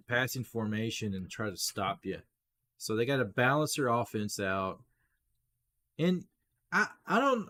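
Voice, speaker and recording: A man hosting a casual live play-by-play stream, his voice rough, clearing his throat, talking straight to viewers and rising on big plays. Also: medium pitch. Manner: chatty, talks straight to viewers, rises excitedly on big plays. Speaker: livestream play-by-play host.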